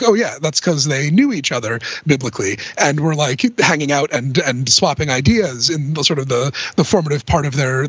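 A man explaining music informally and somewhat haltingly as a podcast panelist. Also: on the high side, slightly rough, no room sound, dull audio. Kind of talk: casual music discussion.

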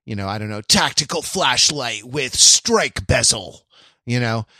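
A man talking casually as a podcast host, halting and rambling, often interrupting himself, with a wry, self-deprecating manner. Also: medium pitch, lively intonation, bright, forceful tone, slightly rough, no echo, studio quality. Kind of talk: casual chat.

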